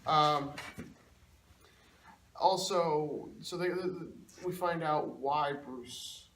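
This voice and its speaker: A man's voice: on the high side, extremely slow, a bit bright. Joking man in an echoey, noisy room. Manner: crude, joking. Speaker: co-host of a weekly geek show. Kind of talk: casual off-the-cuff banter. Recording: echoey room with noticeable background noise.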